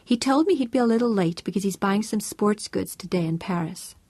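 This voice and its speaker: A woman's voice: medium pitch, animated, brisk, warm tone, breathy edge, no room sound. A woman narrating an audiobook voices an earnest, chirpy American housewife with a sing-song American accent. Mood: chatty.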